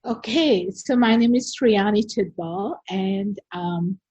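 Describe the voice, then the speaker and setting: Older sri lankan woman telling stories in an interview, husky, dry dull sound. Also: mid-range voice, takes it slowly, a little mellow, dry recording. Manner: storytelling, frequent fillers. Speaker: guest. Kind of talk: conversational interview.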